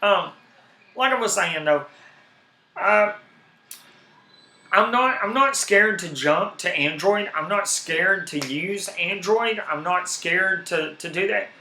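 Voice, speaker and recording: A man's voice: high voice, slow pace, pauses often, reverberant, slight background noise. Southern man ranting on a live stream. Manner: rambling, animated, repeats words when worked up. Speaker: YouTube streamer. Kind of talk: casual live-stream chat.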